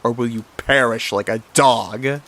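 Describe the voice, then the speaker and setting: A man's voice: mid-range voice, measured pace, a bit bright. A man voicing a spiteful male fish villain who taunts his brother. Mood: bitter. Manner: taunting, bitter.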